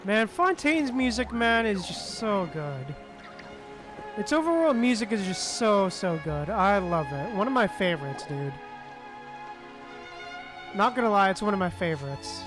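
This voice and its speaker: High-voiced, unhurried male game streamer. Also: big pitch swings. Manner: laid-back, slangy, giggly. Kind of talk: casual live-stream chat. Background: game music.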